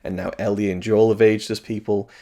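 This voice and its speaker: Hoarse guy with a low raspy voice thinking out loud about a game, kinda rambly. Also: flat delivery, a little fast. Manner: rambling, thoughtful, many restarts and fillers. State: slightly hoarse. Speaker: video game reviewer. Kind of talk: casual solo commentary.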